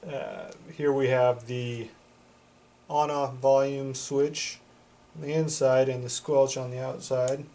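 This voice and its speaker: A man's voice: mid-range voice, steady tone, slow, dark tone. A man giving a casual product demonstration as a reviewer, plain-spoken and matter-of-fact. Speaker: product reviewer for a CB radio shop.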